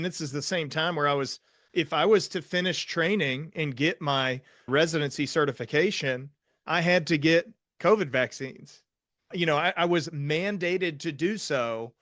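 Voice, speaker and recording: A young man hosts a casual interview, talking in a storytelling way and acting out his own past thoughts. His voice sits fairly high for a man. The sound is dry, with no room echo. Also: fairly bright tone. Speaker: psychiatrist host.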